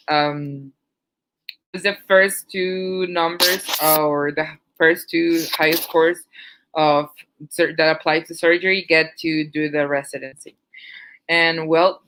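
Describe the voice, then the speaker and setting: A woman presenting on a webinar over a video call, halting with many fillers and restarts. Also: deep voice, fairly even intonation, noisy background. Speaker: medical student presenter.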